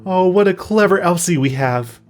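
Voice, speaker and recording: A man's voice: sits high, animated, normal speed, a little mellow, soft background music, voice pushed up. A man voicing a credulous, easily upset servant maid in a storybook tale, exclaiming plaintively. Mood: plaintive concern. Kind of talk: storybook character voice.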